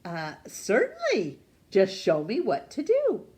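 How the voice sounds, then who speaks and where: A woman reader plays a polite, lonely gentleman from a picture book. He speaks slowly and earnestly, with big swoops of pitch and a sense of wonder. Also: huge pitch swings. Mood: polite, friendly. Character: shy, lonely man turning cheerful and courteous. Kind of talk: storybook character voice.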